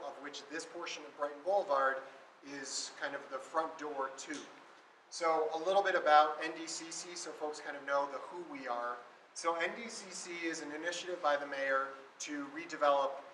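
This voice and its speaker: Loud-voiced man presenting in an echoey room. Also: fairly high pitch, recorded from a distance, slight background noise. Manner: loud voice, many ums and restarts. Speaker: city project manager presenting. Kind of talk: public meeting presentation. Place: meeting room in an events complex. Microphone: walking away from the microphone.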